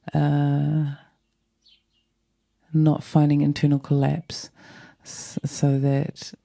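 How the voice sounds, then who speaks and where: A New host speaks alone on air in a croaky, gravelly voice that sits very dark and mellow. The delivery is flat and unhurried, meandering and thoughtful, with a soft-spoken, reflective manner. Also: mid-range voice. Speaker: radio host. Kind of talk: casual reflective solo talk.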